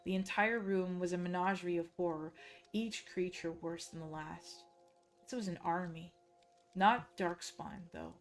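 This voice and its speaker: Low-voiced Dutch woman reading a horror tale. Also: steady tone, faint music behind. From Netherlands. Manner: hushed, measured, suspenseful storytelling. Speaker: streamer reading game lore. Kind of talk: dramatic story reading.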